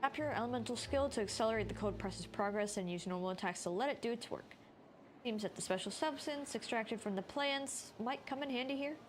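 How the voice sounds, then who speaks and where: Female game streamer: fairly high voice, casual commentary, faint music behind. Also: fairly quick. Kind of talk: casual playthrough commentary, reading game text aloud.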